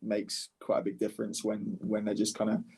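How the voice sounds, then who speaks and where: A man's voice: fairly low pitch, monotone, brisk, creaky, darkish tone, husky. A man speaking casually as a podcast guest and startup founder, candid and often rephrasing mid-sentence. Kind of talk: casual conversational podcast interview.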